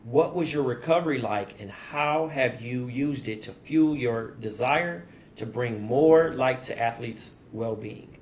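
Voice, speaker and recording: A man's voice: medium pitch, a little slow. A man interviewing a guest, asking questions in a casual, conversational way with friendly asides.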